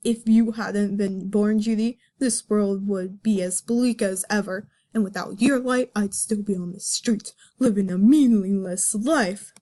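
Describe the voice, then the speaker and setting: A male cartoon fox, as a woman acts him out, earnest and hurt, speaking at a middling pitch for a female voice. The delivery is solemn, reproachful melodrama from a comic. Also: steady tone, a little slow, hardly any pauses, warm tone, lightly acted. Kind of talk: melodramatic comic dramatic reading.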